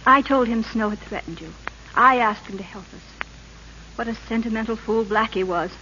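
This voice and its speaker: A woman's voice: on the high side, moderate pace, pushed and bright. A woman acting a governor's passionate, indignant wife in an old radio drama, earnest and insistent. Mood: pleading. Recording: muffled, noisy vintage radio recording.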